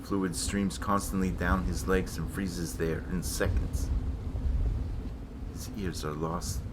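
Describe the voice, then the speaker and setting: Man acting a deep-voiced, taunting polar explorer. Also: steady tone, slow, background noise. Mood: somber, musing. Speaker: stage actor. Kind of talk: dramatic stage reading. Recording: loud music behind the voice. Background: music.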